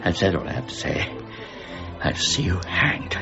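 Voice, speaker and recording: An actor playing a proud, irascible older mint official in a period radio drama, brusque, formal and precise. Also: male voice, low-pitched, sing-song, moderate pace, vocal fry, music in the background, full character voice. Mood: threatening, indignant. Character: proud, irascible older male royal mint warden, Isaac Newton. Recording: dull, faintly noisy radio recording.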